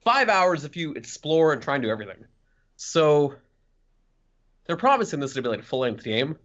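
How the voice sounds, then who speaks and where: Lively, swooping male gaming podcaster. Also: on the high side, normal speed, lo-fi sound. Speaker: podcast co-host. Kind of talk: casual podcast chat about video games.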